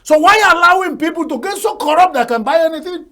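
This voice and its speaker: A man's voice: very high-pitched, lively intonation, fast, bright, forceful tone. An older Nigerian man whose first language is Igbo, giving folksy TV talk show commentary full of proverbs and chuckles. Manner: folksy, proverb-laden, laughs at his own jokes.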